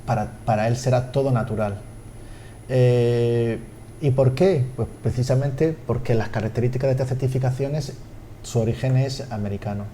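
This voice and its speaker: A man, a language school director interviewed on TV, speaking haltingly with repeated words and fillers. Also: medium pitch, mellow, slight background noise. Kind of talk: TV studio interview.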